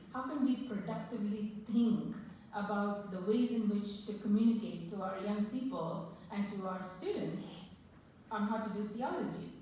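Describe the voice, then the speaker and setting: A woman giving an academic panel talk at a conference, measured and thoughtful, often posing questions to the audience. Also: mid-range voice, darkish tone, slight background noise. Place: conference hall. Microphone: microphone that cut out mid-talk. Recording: echoey hall, from far off.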